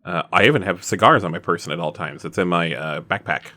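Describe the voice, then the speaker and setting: A man's voice: sits low, quick pace, sharp tone, dry recording, studio quality. A man playing a tabletop roleplaying game, chatting casually with joking asides as he says what his character does.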